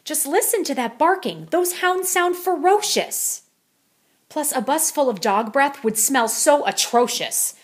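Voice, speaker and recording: A woman's voice: high voice, lively intonation, measured pace, pitched above the performer's own voice. A woman plays a storybook mama cat laying down the law to her kittens. She is bossy and emphatic, with a raised, theatrical voice that swings widely. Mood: emphatic, scornful. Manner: emphatic, dramatic.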